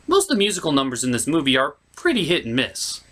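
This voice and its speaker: A man's voice: a little high, huge pitch swings, fast, a bit bright. A man hosting a comedic film review, giving opinionated and digressive commentary. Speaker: host, puppeteer and film reviewer.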